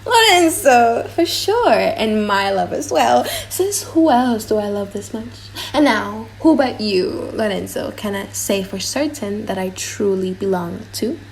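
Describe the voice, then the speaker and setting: A woman's voice: medium pitch, expressive, unhurried, creaky, few pauses, slight background noise, poor audio. A woman playing a bashful young woman in love in a dramatised audiobook. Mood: loving. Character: shy young woman in love, disguised as boy.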